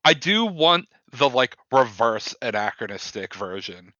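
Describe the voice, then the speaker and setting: Male podcast co-host, bright forceful voice, casual discussion, slightly muffled lo-fi mic. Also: mid-range voice, big pitch swings, measured pace, no echo. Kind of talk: casual film discussion chat. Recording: slightly dull, lo-fi microphone sound.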